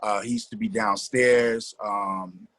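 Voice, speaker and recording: A man speaks in a fairly low, raspy voice. He reminisces in loose, colloquial language, circling back over phrases. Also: fairly even intonation, slow pace. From New Jersey. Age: middle-aged. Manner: colloquial, repeats phrases, gives shout-outs. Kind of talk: casual reminiscing.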